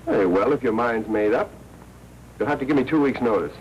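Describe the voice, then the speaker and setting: An actor playing a brisk, hard-nosed newspaper editor in a 1940s film, with an American accent and brusque, wry patter. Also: male voice, medium pitch, animated, darkish tone, dull audio, lightly acted. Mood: pleading, exasperated. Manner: brusque, wry, fast-talking newsroom patter. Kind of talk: 1940s film noir acting. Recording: noisy 1940s film soundtrack.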